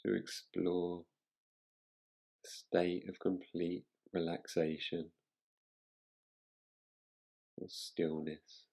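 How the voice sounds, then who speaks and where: A man guiding a relaxation meditation as a teacher, speaking in a soothing, unhurried manner with long pauses. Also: low voice, little pitch movement, creaky, darkish tone.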